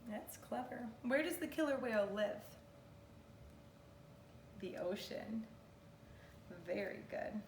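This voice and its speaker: A woman reads aloud like a teacher of small children, in a fairly high, unhurried voice. She is expressive and instructive, putting questions to her listeners and answering them. The sound is roomy, as if the microphone sits a little way off in a living room. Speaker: kindergarten teacher. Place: living room at home, indoors. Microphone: camera or phone a few metres away. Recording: roomy, distant mic, faint noise.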